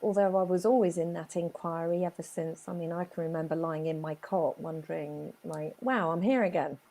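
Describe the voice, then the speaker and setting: Gravelly low woman with a Chilean accent. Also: mellow, rough recording. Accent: Chilean Spanish. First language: Spanish. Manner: earnest, emphatic storytelling with dramatic pauses. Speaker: guest business owner. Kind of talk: personal life story in an interview.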